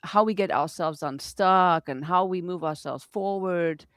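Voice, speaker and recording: A woman's voice: on the low side, measured pace, clear, resonant tone, no echo, clean studio sound. A woman hosting an interview podcast, English not her first language, chatting in an encouraging way. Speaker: podcast host. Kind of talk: relaxed interview chat.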